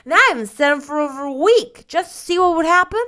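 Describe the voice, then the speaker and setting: A boisterous little boy in a storybook, raising his voice to argue his case with stubborn, injured certainty. His tone is bright and a little high, a woman putting him on as she reads. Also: lively intonation, quick pace. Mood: aggrieved. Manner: loud, indignant, argumentative.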